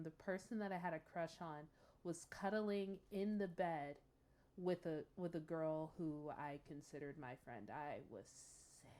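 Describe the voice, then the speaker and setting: A woman hosting a casual reaction show, confiding and expressive, with dramatic emphasis. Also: fairly low pitch.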